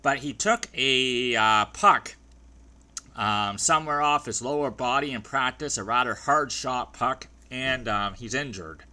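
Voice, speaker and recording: Chatty fan with a dry throat. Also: male voice, medium pitch, a little slow, bright, forceful tone. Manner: chatty, rambling with many ums and restarts. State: dry throat, clearing it often. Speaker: fan video host. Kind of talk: casual hockey recap vlog.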